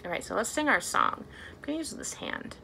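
A woman's voice: medium pitch, very animated, brisk, creaky, bright, forceful tone, a little hiss or hum. A young adult woman presenting a storytime for small children as a librarian, speaking to them in simple, encouraging phrases with lots of questions.

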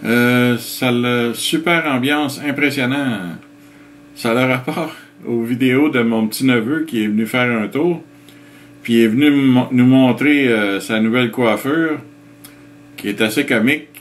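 A man's voice: mid-range voice, unhurried. Québécois man chatting casually to his viewers. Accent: Québécois French. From Quebec, Canada. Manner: relaxed, chuckling, explains step by step. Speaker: vlogger answering viewer comments. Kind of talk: casual chat reading out comments.

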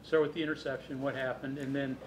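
A man's voice: medium pitch, flat delivery, fast. A man asking questions as a reporter at a sports press conference, recorded in a press room. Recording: roomy, noisy off-mic press room.

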